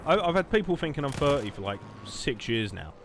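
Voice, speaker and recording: A man's voice: medium pitch, very animated, fairly quick, a little hiss or hum. A man in his late twenties with a southern English accent, chatting casually and sarcastically as he livestreams a game. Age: late 20s. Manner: sarcastic, swearing banter. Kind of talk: casual rambling chat while gaming.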